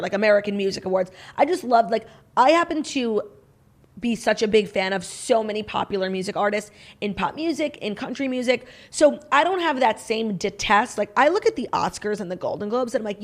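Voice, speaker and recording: A woman co-hosting a pop culture podcast in a frank, casual chat, her voice a bit hoarse. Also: mid-range voice. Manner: chatty, frank, peppered with "like". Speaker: podcast co-host.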